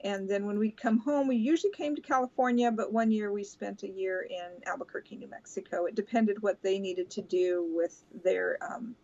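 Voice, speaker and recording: Older woman raised in brazil, soft, pausing a lot, um and uh, muffled call audio, middle pitch. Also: steady tone. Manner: soft-spoken, halting with many pauses and fillers. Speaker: guest, host's mother. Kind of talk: casual remote interview.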